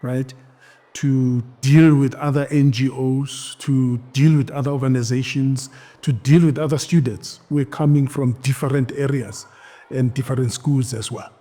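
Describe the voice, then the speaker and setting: A South African man in his sixties recounting his own history in an interview, firm and deliberate. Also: medium pitch, fairly even intonation, mellow. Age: 60s.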